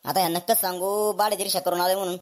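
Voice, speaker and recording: A woman's voice: fairly low pitch, little pitch movement, racing, faint music behind, close to an everyday voice. A shameless, boastful drunkard of a brother-in-law, acted by a woman in a comedy cartoon. He is a brazen freeloader with a whining way of talking.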